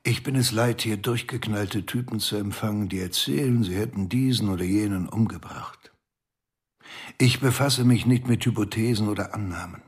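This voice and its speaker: A dismissive male police commissioner in a radio drama. His voice is fairly low and husky. He talks down to people in a curt, weary way. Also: normal speed. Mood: weary, irritated. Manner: curt, condescending.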